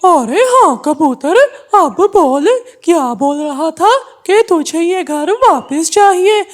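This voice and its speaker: A woman's voice: very high voice, animated, slow pace, full character voice. A woman voicing a mean, stubborn male crow in a cartoon story, taunting and threatening. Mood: mocking.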